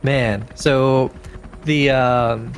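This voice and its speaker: A man's voice: medium pitch, steady tone, very slow, slight background noise. A man playing a tabletop game, thinking aloud in casual, colloquial speech as he describes his moves. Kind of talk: casual improvised game talk.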